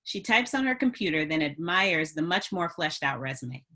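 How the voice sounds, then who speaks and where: A woman's voice: low-pitched, very animated, normal speed, pushed and bright. A woman narrating stage directions at a script table read.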